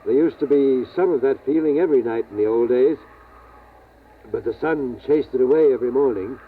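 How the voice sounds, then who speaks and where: A middle-aged male actor playing a protective, weary father in a 1950s radio drama, speaking in measured, grave, halting reassurance. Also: medium pitch, slightly rough, natural voice. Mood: wistful, firm. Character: protective, weary father of a family surviving catastrophe. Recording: tinny vintage radio recording.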